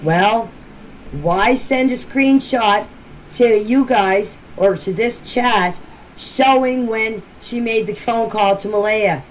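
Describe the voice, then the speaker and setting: A woman's voice: a little high, slow. A middle-aged or older woman speaking plainly and insistently in informal voice messages, often repeating herself. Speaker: local resident answering questions in a group chat. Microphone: voice messages recorded for a group chat. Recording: noisy amateur voice-message clips.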